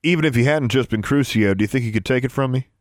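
A man voicing a calm, commanding, sardonic young male wizard in an audiobook, with a patronizing manner. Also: medium pitch, fast talker, no room sound, clean studio sound, close to an everyday voice. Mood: calm, impassive. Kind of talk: audiobook character voice.

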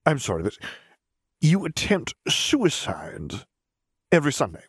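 A man voicing a haughty, menacing male stranger with a formal, measured manner, in an audiobook. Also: on the high side, wildly swooping pitch, slightly rough, dry recording, clean studio sound, pitched above the performer's own voice, full character voice. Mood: baffled. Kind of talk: audiobook character voice.

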